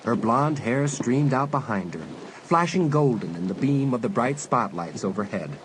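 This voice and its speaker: A man's voice: medium pitch, lively intonation, normal speed, background noise. A man narrating a suspense story as a dramatic scripted audiobook. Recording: music bed under narration.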